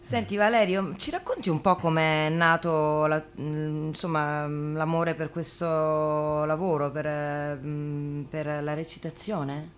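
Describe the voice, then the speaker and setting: A woman's voice: deep voice, steady tone, takes it slowly, hardly any pauses. A woman from Italy hosting a casual, conversational interview and putting questions to her guest. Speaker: interviewer, host. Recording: noticeable background noise.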